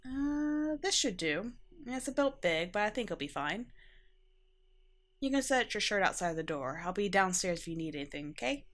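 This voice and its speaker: A woman voicing a caring but slightly awkward female vampire in a soft-spoken ASMR roleplay. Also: mid-range voice, very animated, normal speed.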